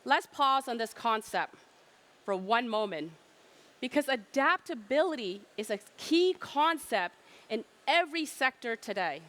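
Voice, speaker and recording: Middle-aged woman presenter with a slightly high voice, emphatic keynote delivery and rhetorical questions. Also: lively intonation, unhurried, fairly bright tone. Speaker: trade fair presenter.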